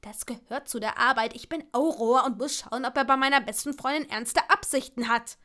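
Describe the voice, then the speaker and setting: A woman's voice: high-pitched, moderate pace, pushed and bright. A woman voicing an exasperated, protective young man who bickers emphatically in an audiobook story. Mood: insistent.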